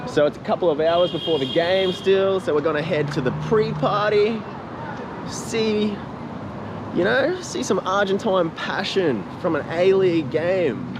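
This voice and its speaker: A man with an Australian accent, a native English speaker, giving excited, informal vlog commentary outdoors. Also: high voice, slow pace, noisy background. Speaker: travel vlogger. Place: outdoors on busy city streets. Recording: outdoor street with crowd and music behind. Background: loud crowd and music.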